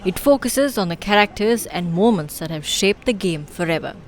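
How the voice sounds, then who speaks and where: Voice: medium pitch, normal speed, slight background noise. Formal news voice-over narrator. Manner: even, formal. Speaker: news reporter.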